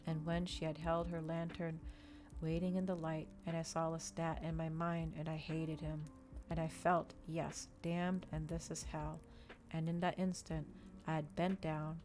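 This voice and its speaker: A woman reading a story aloud in ASMR style, with a measured, dramatic delivery in short phrases. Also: sits low, level tone, a little mellow, soft background music. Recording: clear background ambient noise.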